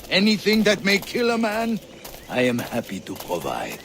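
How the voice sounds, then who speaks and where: An actor playing a sly, jovial male arms dealer, chuckling through a drawn-out, theatrical delivery in a game cutscene. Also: sits high, huge pitch swings, gravelly, fairly bright tone, a little hiss or hum, full character voice. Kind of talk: video game cutscene acting.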